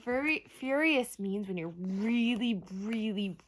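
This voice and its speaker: A woman storyteller reading a storybook aloud to children, expressive, with asides and questions to her young listeners. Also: a little high, slow pace.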